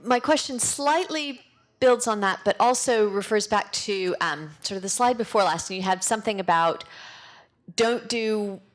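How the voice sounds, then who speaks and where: A woman's voice: mid-range voice, moderate pace. A woman in an audience asking a spontaneous, exploratory question, thinking it through aloud.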